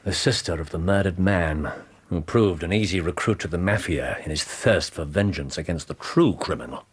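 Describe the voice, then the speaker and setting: A man's voice: deep voice, moderate pace, breathy edge. An actor playing a brilliant, brooding English detective in a British radio drama, precise and theatrical in his speech. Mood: brisk explaining.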